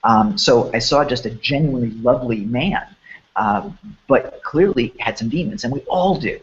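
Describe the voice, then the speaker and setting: A man hosts an event preview, his voice hoarse and a little congested from a cold. He rambles with eager enthusiasm and a middling pitch. The audio comes through a dull, lo-fi call line. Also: moderate pace. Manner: rambling, enthusiastic, many restarts. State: hoarse and sniffling. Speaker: host. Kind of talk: promotional webinar chat.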